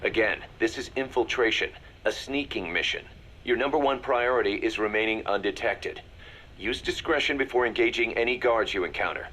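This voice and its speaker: A serious army deputy in a video game. His voice is on the low side, and he delivers information in a measured, businesslike way. A faint noise sits behind him. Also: bright, forceful tone. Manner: crisp, businesslike, measured briefing. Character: serious male military second-in-command briefing his boss. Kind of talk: video game voice acting, mission briefing.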